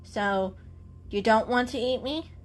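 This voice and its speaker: A woman voicing a small, jumpy, suspicious bird with a shrill chirp and sarcastic delivery, in an animal storybook reading. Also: takes it slowly. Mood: suspicious, curious. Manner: sarcastic, excitable.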